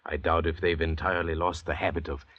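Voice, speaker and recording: An actor playing a worldly, educated convict doctor and murderer in an old radio drama, urbane and deliberate, with a condescending manner. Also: male voice, a bass voice, little pitch movement, no echo, highs cut off. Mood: calm, patronizing. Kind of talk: 1940s radio drama acting. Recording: vintage radio broadcast.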